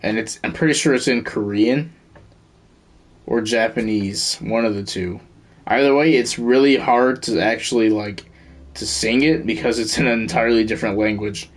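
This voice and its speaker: A man's voice: fairly low pitch, moderate pace. A young man streaming a game, chatting casually in slangy, rambling, repetitive talk. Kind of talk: casual livestream chat.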